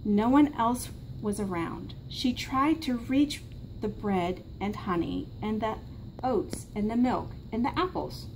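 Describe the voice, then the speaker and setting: A woman's voice: medium pitch, expressive, slow pace, darkish tone, rough recording. Woman reading aloud, faint room noise. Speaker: teacher reading to children. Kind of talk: picture-book read-aloud. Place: small classroom, indoors. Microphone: camera a short distance away. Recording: faint classroom background noise.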